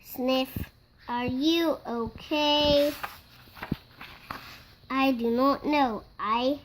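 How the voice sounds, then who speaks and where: A five-year-old child reading a picture book aloud in a halting, word-by-word way, acting out the parts. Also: high-pitched, a little hiss or hum, low-quality recording. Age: child, five years old. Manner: slow, halting word-by-word reading with acted-out sneezes.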